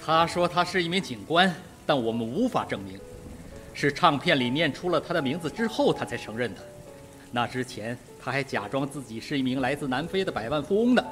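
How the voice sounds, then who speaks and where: A man's voice: mid-range voice, lively intonation, fairly quick, a bit bright, soft background music, lightly acted. A man playing an overwrought, guilt-ridden doctor in a Mandarin radio mystery, fretful in manner. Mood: fearful suspicion. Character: panicky, guilt-ridden male doctor, a reformed drunkard.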